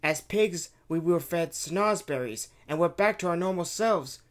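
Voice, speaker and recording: A man's voice: on the high side, a little slow, pitched above the performer's own voice, close to an everyday voice. A man doing an eager young boy. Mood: excited. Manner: excited, breathless storytelling. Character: eager young boy telling his adventure.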